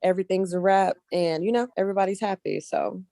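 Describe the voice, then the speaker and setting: A woman's voice: mid-range voice, quick pace, mellow, no room sound, studio quality. A woman speaking casually and earnestly as an interview guest, often repeating phrases for emphasis. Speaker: guest casting director, actress and model.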